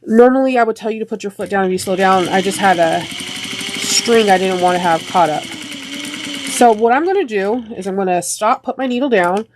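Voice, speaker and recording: A woman walks through a sewing project in a chatty, rambling way, often stopping on ums and restarts. Her voice sounds a little deeper and stuffy from a sinus infection. A faint hiss of noise sits under the recording. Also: lively intonation, normal speed. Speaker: sewing tutorial host. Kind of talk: step-by-step sewing tutorial.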